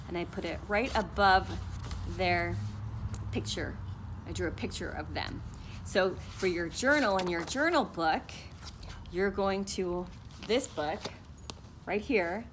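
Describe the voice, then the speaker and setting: Slow, patient teacher woman. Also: mid-range voice, fairly bright tone, slight background noise. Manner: slow, sing-song, patient. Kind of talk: online morning meeting for young children.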